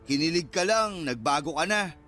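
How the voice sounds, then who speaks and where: A man's voice: a little high, animated, normal speed, pushed and bright, soft background music. An actor playing a young Filipino man in a radio drama, a teasing best friend who banters in slang and acts mock-offended.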